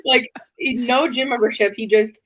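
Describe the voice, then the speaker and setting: A woman's voice: fairly high pitch, steady tone, measured pace, a crowd in the background. Nasal, congested woman chatting. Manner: chatty, laughs often, many fillers. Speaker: podcast guest. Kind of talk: casual chat.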